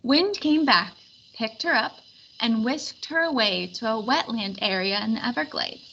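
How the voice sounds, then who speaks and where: Bright, high-voiced woman reading a storybook. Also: measured pace, creaky, slightly rough, highs cut off. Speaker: storybook reader. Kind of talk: expressive children's read-aloud narration.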